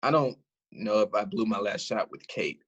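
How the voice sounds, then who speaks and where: An actor playing a self-deprecating young romantic in a stage monologue, speaking in an earnest, halting, rambling way. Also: male voice, lowish voice, fairly bright tone, slightly rough, highs cut off. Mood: self-doubting, earnest. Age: young adult. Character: lovelorn, self-deprecating romantic young man, stubborn dreamer. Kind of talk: theatrical monologue to the audience.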